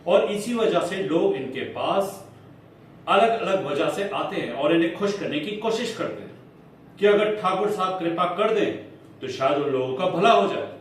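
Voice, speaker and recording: Man acting an insinuating defence lawyer, very echoey room. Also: sits high. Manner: formal, emphatic, with deliberate dramatic pauses. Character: smooth, insinuating male defence lawyer arguing in court. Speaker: acting student performing a monologue. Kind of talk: theatrical courtroom monologue in Hindi with English phrases. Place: indoor acting class room. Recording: echoey room, distant mic, faint noise.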